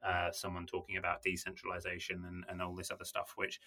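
Very deep, flat-toned man. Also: fast talker. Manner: candid, skeptical, many fillers. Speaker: guest. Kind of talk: podcast discussion.

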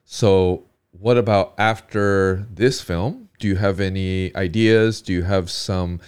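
A man's voice: low-pitched, steady tone, slow pace, darkish tone, clear, resonant tone. A man hosting a casual interview, asking curious questions and giving many short acknowledgements. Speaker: podcast host.

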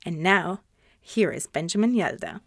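A woman's voice: lowish voice, lively intonation, moderate pace, fairly bright tone, dry recording, soft background music. A woman speaking as a podcast host, presenting to listeners and interviewing a guest. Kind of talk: scripted podcast introduction and sponsor reads, then interview questions.

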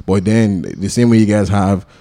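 Emphatic low-voiced podcast host. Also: male voice, moderate pace, dark tone. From Nigeria. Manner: emphatic, repeats and restarts his points. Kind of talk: casual debate.

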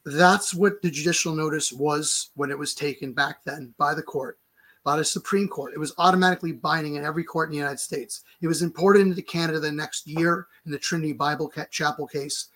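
A hoarse man, having lost his voice, explains a legal challenge fast and insistently on a video call, restarting words often. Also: a little high, a bit bright, rough recording. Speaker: lawyer guest.

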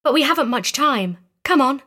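A woman playing a quiet, uneasy young girl in an audio story, speaking in a hushed, matter-of-fact way. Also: high voice, animated, moderate pace. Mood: urgent, secretive. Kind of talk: audio story character acting.

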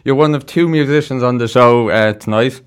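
A man's voice: medium pitch, fairly quick. A man with an Irish accent hosting a casual radio interview in a studio, chatty and encouraging. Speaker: radio show host.

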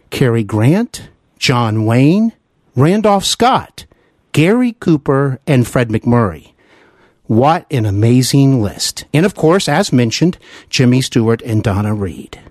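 A man hosting a podcast, reading a scripted introduction with earnest, emphatic, measured phrasing. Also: mid-range voice, no echo, clean studio sound. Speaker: podcast host.

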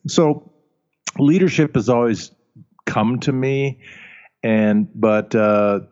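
An older man from Alabama whose first language is German, a leadership coach answering interview questions in a relaxed, chuckling way with plenty of fillers. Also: mid-range voice, takes it slowly. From Alabama, United States. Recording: muffled lo-fi audio.

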